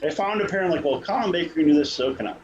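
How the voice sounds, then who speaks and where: A man's voice: fairly high pitch, lively intonation, racing, a little mellow, highs cut off. A man chatting casually on a panel in a joking, teasing manner. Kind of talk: casual chat. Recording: echoey room with background noise.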